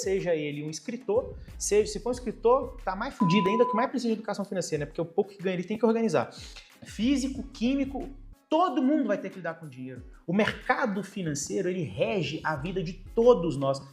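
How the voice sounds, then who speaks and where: Fast, blunt Brazilian finance host. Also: male voice, high-pitched, expressive, faint music behind. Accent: Brazilian Portuguese. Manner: blunt, profane, emphatic. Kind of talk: fast, blunt advice monologue.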